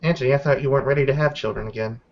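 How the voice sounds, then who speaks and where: A man's voice: mid-range voice, rapid-fire, vocal fry, highs cut off, lightly acted. A man voicing a confident, martial female werewolf who challenges others in a tabletop roleplaying game. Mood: dry. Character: martial, cocky female werewolf spoiling for a fight.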